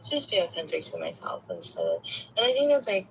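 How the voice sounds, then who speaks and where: A woman with a gravelly, fry-tinged voice opens up candidly to her reader, loose and confiding, with casual swearing. Faint background noise runs beneath her. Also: mid-range voice, huge pitch swings, quick pace, low-quality recording. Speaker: caller getting a tarot reading. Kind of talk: casual chat.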